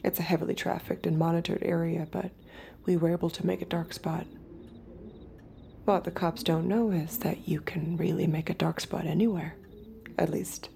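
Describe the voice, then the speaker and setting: Low, gravelly, haunted artificial woman. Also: slight background noise. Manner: quiet, intimate, breathy inner monologue. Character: subdued artificial young woman, haunted reluctant killer. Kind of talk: dramatic first-person audio fiction narration.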